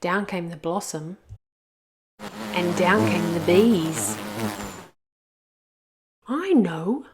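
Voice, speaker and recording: A woman's voice: on the low side, steady tone, takes it slowly, long pauses, noisy background. A woman narrating a children's picture book expressively, as a read-aloud host.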